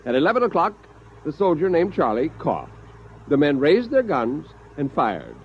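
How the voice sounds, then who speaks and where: A clever, modest husband with a mid-range, very animated voice. He explains in a conversational, quietly pleased way. The old radio drama sound is dull and faintly noisy. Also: a little high, moderate pace. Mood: quietly triumphant. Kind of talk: 1950s radio drama acting.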